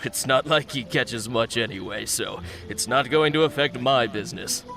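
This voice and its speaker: A nervous fishmonger at a wharf in a video game, voiced by a man, defensive and quick to stammer. His voice sits in a plain middle pitch, and he hurries to protect his business. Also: vocal fry, over music, natural voice. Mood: explaining.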